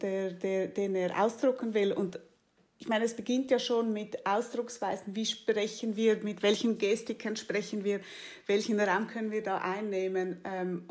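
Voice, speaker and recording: A woman's voice: medium pitch, fairly even intonation, moderate pace. A woman hosting a conversation, thinking aloud with frequent restarts and fillers. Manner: hesitant, many restarts and fillers, warm enthusiasm; mixes in English phrases. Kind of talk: casual conversation.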